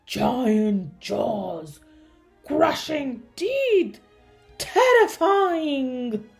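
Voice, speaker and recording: A storybook sea-creature dentist, played by a woman, male, cowardly and full of bluster. He shrieks and stammers dramatically, swinging wildly in pitch over background music. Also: big pitch swings, very slow, darkish tone, heavily acted. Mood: fearful, indignant.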